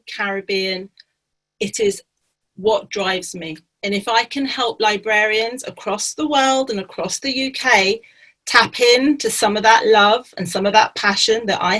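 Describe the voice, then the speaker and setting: Bright impassioned woman on a call. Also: mid-range voice, rough recording. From Barbados. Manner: impassioned, grows louder and urgent. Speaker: panelist. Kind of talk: webinar panel answer.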